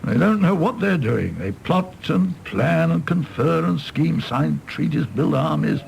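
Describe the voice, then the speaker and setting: A man acting a tipsy, bitter, apologetic middle-aged British doctor in a radio drama, rambling and halting in a gravelly voice. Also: on the high side, lively intonation, a little mellow, slight background noise, exaggerated voice. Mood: bitter, ranting. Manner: rambling, halting, swinging from ranting to meek.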